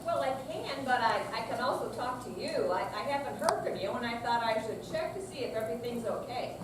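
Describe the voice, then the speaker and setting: Heard across a noisy, echoing hall, this is a woman reading the part of a grown daughter. Her voice sits fairly high. She is worried and firm with her aging parents in a stage reading. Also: animated, a little fast, close to an everyday voice. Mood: concerned. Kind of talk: staged readers theater reading. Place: senior center stage reading, far from mic. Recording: echoey noisy hall, distant mic.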